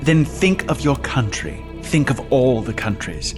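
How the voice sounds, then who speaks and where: Grave smooth-talking envoy, audio drama, music bed. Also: male voice, medium pitch, big pitch swings. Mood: persuasive. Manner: persuasive, grave, measured. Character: persuasive male envoy of immortals, calm, commanding. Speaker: envoy of an immortal society.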